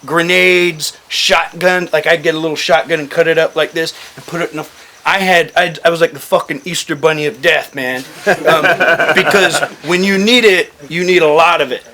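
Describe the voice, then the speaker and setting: Bright-voiced, profane man swapping stories. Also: a little high, steady tone, moderate pace. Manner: profane, blunt, joking. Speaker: firearms gear talk guest and former overseas contractor. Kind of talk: casual storytelling to a small group.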